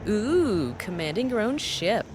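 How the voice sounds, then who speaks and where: A woman's voice: medium pitch, very animated, unhurried, a little hiss or hum. An actor playing a blunt, sarcastic woman who teases an old acquaintance, through an AI voice changer. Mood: teasing. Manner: teasing, sarcastic, shouting across a workshop. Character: sassy female mechanic's partner, teasing and blunt. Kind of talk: fan audio drama acting.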